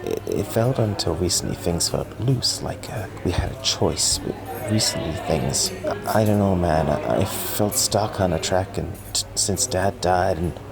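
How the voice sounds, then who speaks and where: Man playing deep, creaky, anxious young guy. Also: expressive, loud music behind the voice, heavily acted. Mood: anxious, agitated. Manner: hesitant, rambling, halting. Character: anxious, obsessive young male horror-film fan and video blogger. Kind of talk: found-footage audio drama acting, rambling confessional.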